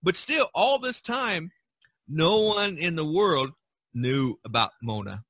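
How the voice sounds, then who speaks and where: A man with a bright, forward voice hosts a casual podcast discussion. He rambles through his stories in a conversational way, and the sound is dry and close. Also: a little high, lively intonation, slow pace, no echo. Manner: rambling, storytelling. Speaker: podcast host. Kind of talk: casual discussion of art history.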